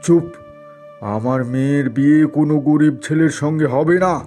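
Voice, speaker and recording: Man acting a proud middle-aged father, stern, indignant, mellow low-bright tone, slow delivery, cartoon story. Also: soft background music, lightly acted. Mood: angry. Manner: indignant, commanding.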